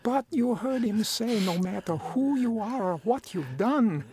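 An actor playing a sincere, humble homeless man with a creaky voice, telling his story in a radio drama. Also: very high-pitched, expressive, measured pace, dark tone, full character voice. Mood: urgent, hopeful. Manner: sincere, reflective. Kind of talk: radio drama first-person narration and dialogue. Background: music.

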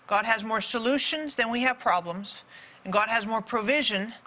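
Bright woman hosting a talk show. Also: a little high, moderate pace. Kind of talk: presenting and interviewing.

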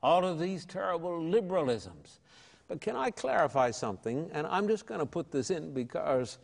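A man's voice: on the high side, very animated, moderate pace, vocal fry, in a large hall. An older man with an Australian accent, an evangelist telling his story in an interview with an emphatic, preacherly and folksy manner. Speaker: evangelist guest.

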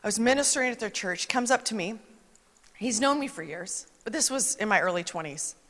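A woman's voice: medium pitch, expressive, a little fast, a bit bright. A woman preaching a conversational sermon, emphatic and chatty, with asides that quote other people. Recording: long reverb of a large hall.

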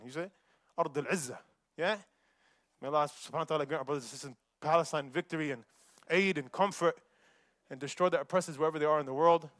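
A young man giving a Friday sermon in a mosque, preaching in an animated way and mixing recited verses with casual asides. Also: on the high side, dry recording, clean studio sound. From United States.

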